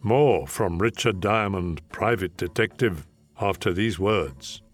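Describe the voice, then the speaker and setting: A man's voice: sits low, lively intonation, takes it slowly, over music. A man making scripted announcements as a radio show announcer.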